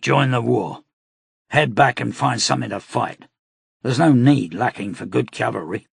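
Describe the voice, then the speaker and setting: A gruff, hard-bitten commander, as a man reads him, low and gravelly with a raspy edge. Clipped and close, in a dry booth. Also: normal speed, dry recording, heavily acted. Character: hardened male cavalry commander, angry giant.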